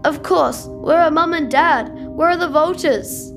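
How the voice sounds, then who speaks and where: A woman's voice: high voice, unhurried, music in the background. A woman playing an anxious, trusting young boy in a sci-fi audio drama, full of plaintive questions. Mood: anxious, insistent.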